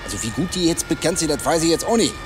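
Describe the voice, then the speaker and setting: Man playing a smug, high-pitched chatty detective. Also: expressive, fast talker, distant mic, music in the background, natural voice. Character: chatty, self-satisfied male local police detective. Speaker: actor in a radio drama. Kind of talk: naturalistic German radio crime drama acting.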